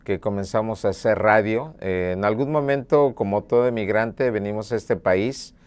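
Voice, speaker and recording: Earnest middle-aged man, low smooth voice. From Mexico (Puebla). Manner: earnest, measured, with hesitation fillers. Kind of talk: documentary interview, reflective storytelling.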